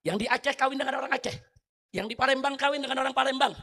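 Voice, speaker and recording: A middle-aged Indonesian man preaching a fiery sermon, firing rhetorical questions at the crowd and swinging from low, quiet asides to loud shouting. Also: racing, gravelly.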